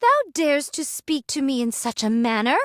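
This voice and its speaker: A proud android swordswoman speaks in a fairly high voice. Her phrasing is old-fashioned, formal and haughty, with a weary edge. It is game drama acting in a very dry recording. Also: sing-song, normal speed, a bit bright, no room sound. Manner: formal, archaic, haughty diction.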